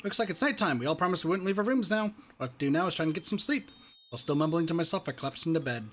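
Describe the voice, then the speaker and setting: A man's voice: on the high side, animated, rapid-fire, soft background music. A man reading visual novel narration aloud as an ordinary, earnest teenage schoolboy thinking to himself. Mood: weary, resigned.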